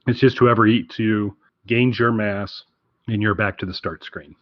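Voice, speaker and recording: Low-voiced rambling man, casual podcast chat. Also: fairly even intonation, moderate pace, no echo, dull audio. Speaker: podcast co-host. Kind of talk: casual game recommendation chat.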